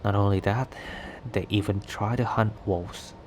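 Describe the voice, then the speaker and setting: A man playing a cocky, protective young werewolf stranger in an intimate ASMR roleplay, talking in a teasing, halting conversational way. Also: lowish voice, steady tone, darkish tone, slight background noise, natural voice. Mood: cautioning, earnest. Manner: teasing, breathy sighs and chuckles, halting conversational delivery. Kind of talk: ASMR roleplay acting, intimate one-sided dialogue.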